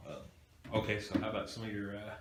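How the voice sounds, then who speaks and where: A man's voice: on the low side, fast, a bit bright. A man hosting a show in casual, conversational speech. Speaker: show host. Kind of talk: casual chat and show introductions. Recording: echoey room with faint background noise.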